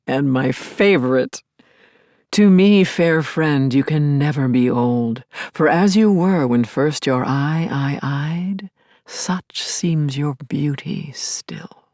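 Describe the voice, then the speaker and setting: An actress playing a grieving, lovelorn middle-aged therapist in an intimate audio play monologue, confiding and wry, lingering on words and dropping to murmurs. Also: female voice, low voice, takes it slowly, a little mellow, no room sound, clean studio sound. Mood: yearning, reverent. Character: grieving, lovelorn woman therapist, self-aware and yearning. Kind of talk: intimate first-person dramatic monologue.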